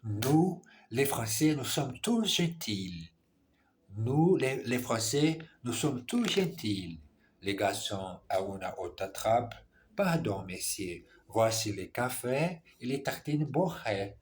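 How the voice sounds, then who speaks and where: A man records himself on his phone as he learns French. He speaks slowly at a middle pitch, often stopping to repeat and correct himself as he works through lines of dialogue. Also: very animated, a little hiss or hum. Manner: halting, repeats and self-corrects, reads dialogue lines aloud. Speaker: language learner. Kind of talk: casual language practice vlog. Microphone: phone camera.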